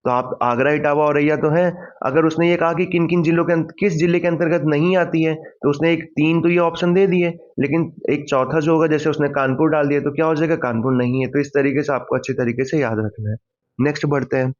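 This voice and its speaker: Higher-voiced man teaching, muffled recording. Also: fairly even intonation, brisk. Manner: didactic, poses questions then answers them, repeats key points. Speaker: exam-prep teacher. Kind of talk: question-and-answer lecture for exam revision. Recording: dull lo-fi microphone sound.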